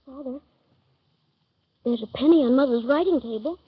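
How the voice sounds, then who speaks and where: A man voicing an eager, excited little boy in a 1930s radio play, talking in a breathless, piping way. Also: very high-pitched, normal speed, slightly rough, dry recording, pitched above the performer's own voice. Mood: pleading. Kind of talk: 1930s radio drama acting. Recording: dull lo-fi 1930s radio recording.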